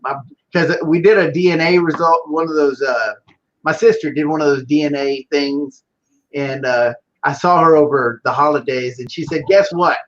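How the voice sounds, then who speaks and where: A man's voice: on the high side, fairly even intonation, moderate pace, dull audio. A man from Houston with a Texas accent and Spanish as his first language, chatting casually as an interview guest. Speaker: interview guest, business owner. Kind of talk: casual chat about his background.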